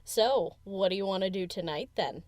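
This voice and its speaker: A woman's voice: mid-range voice, moderate pace, a little mellow, dry recording, clean studio sound, lightly acted. A woman playing a brash, cheeky female DJ pony who talks in a casual, cocky, teasing way in an audio drama. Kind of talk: fan audio drama character acting.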